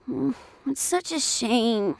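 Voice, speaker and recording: A woman's voice: a little high, expressive, slow pace, creaky, full character voice. A woman voicing an affectionate, eager little boy in an anime-style video game. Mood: earnest.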